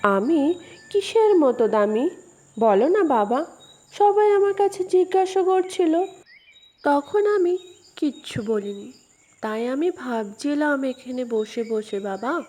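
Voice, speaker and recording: A woman's voice: sits high, expressive, slow, pauses often, mellow. A woman voicing an innocent, curious young schoolboy in a cartoon story, earnest and questioning. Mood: earnest, wondering.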